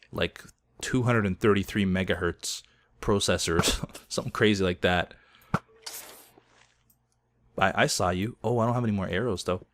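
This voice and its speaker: A somewhat low-voiced man in his thirties, hoarse as though he is losing his voice. He rambles through personal stories while playing, chatty and self-deprecating, laughing at himself often. He is recorded at home on a desk mic. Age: 30s. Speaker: gaming video host. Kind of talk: casual gameplay commentary.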